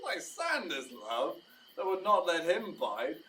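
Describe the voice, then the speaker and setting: High-voiced, ardent young lover. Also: male voice, wildly swooping pitch, slow, pushed and bright, a little hiss or hum, heavily acted. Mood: cold, dismissive. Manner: theatrical, emphatic. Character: young male lover, ardent, earnest, cruelly fickle. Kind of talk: Shakespearean verse audio drama. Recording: voice distant from mic.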